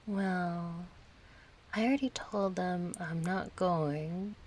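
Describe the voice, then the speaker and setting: Slow-paced, casual young woman friend, acted. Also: on the low side, darkish tone, slight background noise. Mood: soft, tender. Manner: casual, hesitant, playful. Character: kind, chatty young female friend, gently yearning for closeness. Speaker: audio roleplay voice actor. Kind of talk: intimate audio roleplay acting, one side of a conversation.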